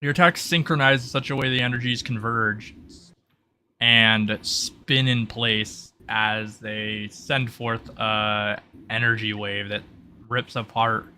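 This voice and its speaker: A man narrating a tabletop roleplay session as game master, in a halting delivery with drawn-out pauses. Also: lowish voice, fairly even intonation, pushed and bright, a little hiss or hum.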